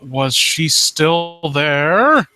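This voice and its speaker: A man hosts a casual critique session with a sharp, bright voice that sits slightly high. He is sarcastic and foul-mouthed, slipping between reading aloud and riffing. The sound is close and dry. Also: sing-song, drawn-out words, no room sound, soft background music. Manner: sarcastic, mocking, sweary. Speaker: host reading and critiquing horror stories live. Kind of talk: casual riffing commentary with read-aloud passages.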